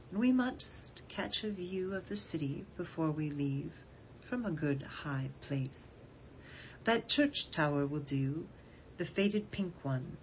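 A woman reading a poem aloud in an expressive literary style, with measured, deliberate phrasing. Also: fairly low pitch, poor audio. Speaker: poetry reader. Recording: faint background noise.

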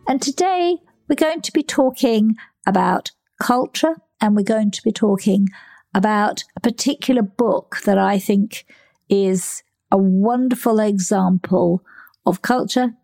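A woman's voice: medium pitch, a little slow, gravelly, dark tone. Woman hosting a podcast interview. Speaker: podcast host. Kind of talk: scripted podcast intro and interview.

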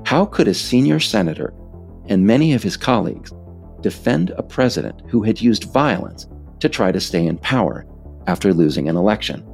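An American man reading scripted political nonfiction as author and narrator, in a measured, emphatic way. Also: on the low side, vocal fry.

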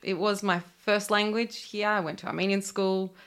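A woman's voice: medium pitch, level tone, normal speed, a bit bright. A young woman from Australia speaking earnestly as a guest on an interview podcast.

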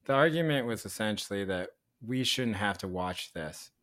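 Co-host, male, lower register, outspoken and animated, casual swearing. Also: normal speed. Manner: animated, swearing, laughing, bursts into loud asides. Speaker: podcast co-host. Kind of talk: casual chat.

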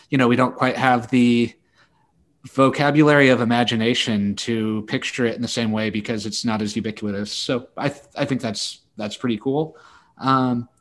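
Man in casual, halting book talk. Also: medium pitch. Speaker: podcast co-host. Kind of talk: casual book news discussion.

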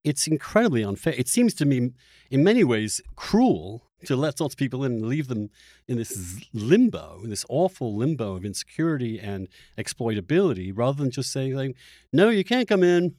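A man's voice: medium pitch, sing-song, moderate pace, a little mellow, no room sound, studio quality. A man hosting a podcast interview, speaking in an opinionated, argumentative and questioning way with a lot of emphasis. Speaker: podcast host.

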